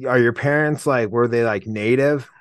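A man's voice: medium pitch, normal speed, clean tone. A man hosting a podcast, asking a guest short questions. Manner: brief reactions and questions. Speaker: podcast host. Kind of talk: interview questions.

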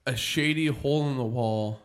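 Man, podcast co-host, halting rambling speech, lots of fillers, mid pitch. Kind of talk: casual comedy banter.

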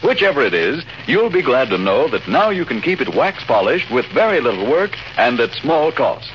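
A man's voice: mid-range voice, big pitch swings, moderate pace, a bit bright. An American man reading a scripted 1940s radio advertisement as an announcer. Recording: muffled 1940 radio recording, faint noise.

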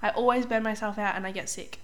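A young woman hosting a casual solo podcast as a life coach, chatty and upbeat, slightly hoarse while getting over a cold. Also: mid-range voice, steady tone, quick pace. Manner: chatty, upbeat, says "like" often, clears throat.

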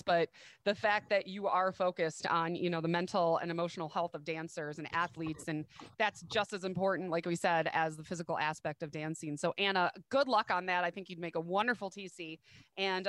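A woman's voice: medium pitch, fairly bright tone, dry recording. A woman from the American Midwest hosting a podcast, chatty, upbeat and encouraging. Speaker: podcast host. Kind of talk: casual podcast chat.

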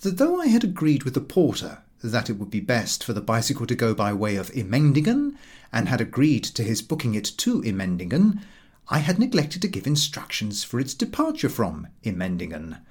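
Expressive man reading a humorous story. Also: medium pitch, brisk, husky. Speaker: audiobook reader. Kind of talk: scripted narration of a comic travel story.

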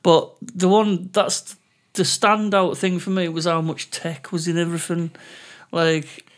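Male co-host, fairly high voice, informal and agreeable in easy chat. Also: flat delivery, unhurried. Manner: informal, agreeable listener with frequent backchannels. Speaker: podcast co-host. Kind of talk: casual chat.

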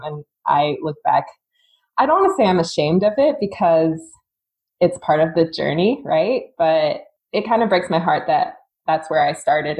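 A woman's voice: low-pitched, darkish tone, poor audio. A woman in her thirties from Singapore, a fitness coach telling her story as a podcast guest in a chatty, candid way, often laughing mid-sentence. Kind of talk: casual conversational storytelling.

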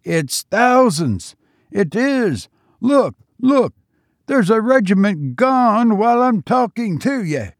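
A man voicing an excitable common soldier in a trench who bellows in amazement to his comrade. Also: high voice, slow, no echo, clean studio sound, voice pushed up, heavily acted. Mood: astonished.

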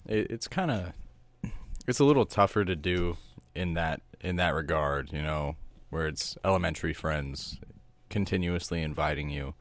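A young adult man co-hosting a podcast, soft-spoken and calm, talking in a measured, reflective way. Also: low-pitched. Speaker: podcast co-host. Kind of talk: casual chat.

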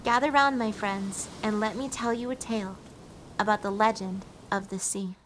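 A woman's voice: medium pitch, steady tone, moderate pace, fairly bright tone, close to an everyday voice, poor audio. A woman playing a gracious, theatrical mermaid guardian spirit, telling a scripted fairy tale to listeners as guests. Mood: solemn storytelling. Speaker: storyteller. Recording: faint background noise and ambience.

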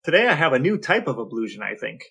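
A man's voice: mid-range voice, sing-song, quick pace, vocal fry, a bit bright, breathy edge. An American man co-hosting a podcast with casual, conversational talk. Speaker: podcast co-host.

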